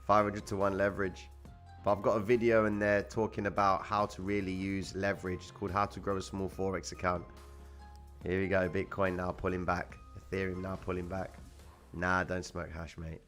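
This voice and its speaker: Laid-back deep-voiced man streaming. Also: level tone, moderate pace, a bit bright, soft background music. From Cyprus. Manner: laid-back, slangy, answering chat. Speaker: trading livestream host. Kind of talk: casual livestream chat reading viewer comments.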